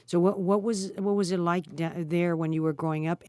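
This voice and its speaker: A middle-aged woman asking questions in a gentle, conversational interview style. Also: lowish voice, steady tone, a little fast, clear, resonant tone, no echo, clean studio sound. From United States. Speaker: interviewer, daughter of the guest.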